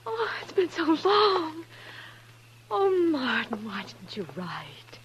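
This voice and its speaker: High-voiced, earnest frontier sister. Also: female voice, huge pitch swings, slow pace, full character voice. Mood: overjoyed, tearful. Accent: American. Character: earnest, trusting young woman, devoted outlaw's sister. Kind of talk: old-time radio western melodrama. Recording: noisy old radio recording with hum.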